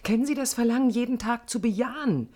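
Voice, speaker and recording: An actress playing an impulsive, defiant young woman architect in a radio drama, expressive and teasing. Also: a little high, fast talker, lightly acted. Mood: intense, searching. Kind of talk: 1980s German radio drama acting.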